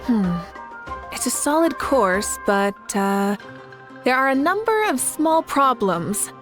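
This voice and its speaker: A woman's voice: fairly high pitch, sing-song, slow, a bit bright, faint music behind, full character voice. A woman voicing a confident, fiery and good-humoured warrior champion in a video game, assured and playful. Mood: considered. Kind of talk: video game character acting.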